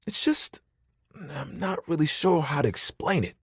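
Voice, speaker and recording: A man's voice: fairly high pitch, lively intonation, moderate pace, gravelly, husky, dry recording, studio quality, full character voice. A man voicing a young, easygoing, sensitive living towel in a video game, talking casually and earnestly with some hesitant, theatrical turns. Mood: flustered, defensive. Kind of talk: video game character voice acting.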